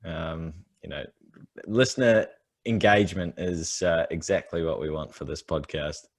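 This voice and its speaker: A man's voice: low voice, steady tone, measured pace. A man co-hosting a golf podcast in casual chat, laid-back, laughing along and giving short replies. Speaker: podcast co-host.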